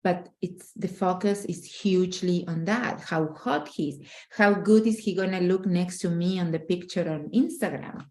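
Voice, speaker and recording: A woman's voice: fairly low pitch, normal speed, few pauses, warm tone. A middle-aged woman from Argentina, speaking earnestly and emphatically as a relationship coach in a casual interview, often restarting her phrases.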